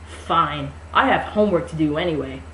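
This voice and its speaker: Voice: medium pitch, moderate pace, fairly bright tone, close to an everyday voice. A comic reader puts on an eager, clingy young man from a trashy novel. He comes across as creepy, and the sound is echoey with faint noise. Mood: hurt, playing cool.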